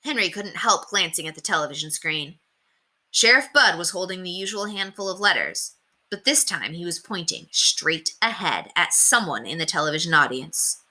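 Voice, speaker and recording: A woman's voice: fairly low pitch, animated, moderate pace, pushed and bright. A woman narrating a children's story aloud from a book.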